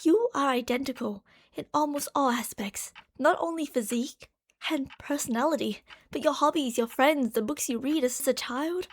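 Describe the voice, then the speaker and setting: A very high-pitched, lovesick yandere girlfriend played by a woman speaks straight to her darling in an ASMR roleplay. She is a delusional genius scientist, gushing and possessive, moving between hushed confiding and excited devotion. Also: moderate pace, close to an everyday voice. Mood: intense, explaining. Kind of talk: ASMR roleplay monologue addressed to the listener.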